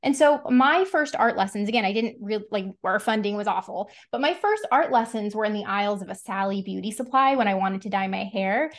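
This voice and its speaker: A woman's voice: medium pitch, lively intonation, a little fast, few pauses. A woman hosting a casual interview, affirming her guest and reflecting at length on her own ideas. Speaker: podcast host.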